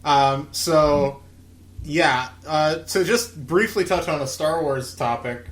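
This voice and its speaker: A man's voice: fairly high pitch, slow, slight background noise. A man in his late twenties chatting casually as a podcast co-host, opinionated and given to swearing. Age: late 20s. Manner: opinionated, profane, animated when worked up. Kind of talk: casual chat.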